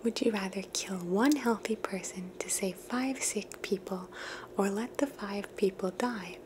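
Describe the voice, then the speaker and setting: Softly whispering woman, ASMR host. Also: medium pitch, a little slow, noisy background. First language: English. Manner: soft unvoiced whisper, musing and thoughtful.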